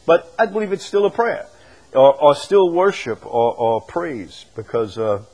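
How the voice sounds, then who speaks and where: A man teaching a Bible study as a preacher, emphatic, moving between loud declaiming and quieter asides. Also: on the high side, very animated, a little slow, rough recording. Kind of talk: teaching with questions from the congregation.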